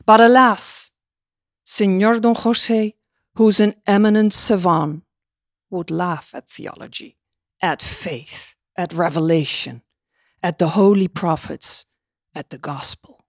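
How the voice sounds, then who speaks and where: A woman's voice: lowish voice, takes it slowly, no room sound, clean studio sound. A woman voicing a pompous, sly male priest who feigns humility in theatrical oratory, as in an audiobook of a novel. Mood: ironic lament. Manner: theatrical mock-humble oratory.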